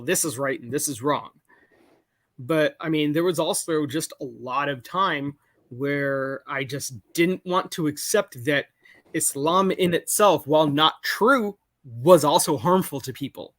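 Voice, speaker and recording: A young man calling in to a live show, talking in a halting way full of restarts and self-corrections. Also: a little high, fairly bright tone, dry recording, clean studio sound. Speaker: caller. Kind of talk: casual call-in conversation. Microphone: call-in over a video call.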